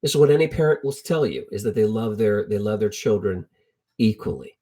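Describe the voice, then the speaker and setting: A man's voice: lowish voice, expressive, fairly quick, mellow. A middle-aged man teaching from the Bible in an informal, storytelling sermon style, conversational and colloquial, repeating phrases for emphasis. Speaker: preacher teaching a Bible lesson.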